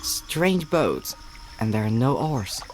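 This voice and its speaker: Game lead: earnest, weary young hero, medium pitch, over a musical night soundscape. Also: male voice, big pitch swings, slow, music in the background. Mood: uneasy. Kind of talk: video game character acting.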